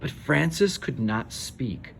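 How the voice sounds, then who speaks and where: A man reading a children's story aloud, with measured delivery and dramatic pauses. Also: mid-range voice, lively intonation, creaky, a little hiss or hum. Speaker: storybook reader. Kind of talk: expressive children's read-aloud narration.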